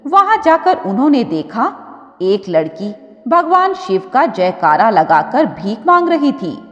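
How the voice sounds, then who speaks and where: A woman reading a scripted devotional story in an expressive, measured storytelling manner. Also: a little high. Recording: dull audio with long reverb.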